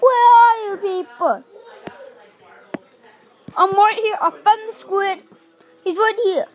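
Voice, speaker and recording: High-pitched child playing pirates. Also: slow. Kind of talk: improvised puppet show play with character voices.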